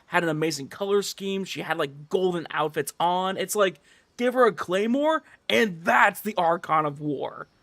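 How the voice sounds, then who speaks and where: A man doing casual livestream commentary over a game, chatting with viewers in an animated, exasperated, repetitive rant. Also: high voice, moderate pace. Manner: animated ranting, exasperated, swearing, repetitive emphasis.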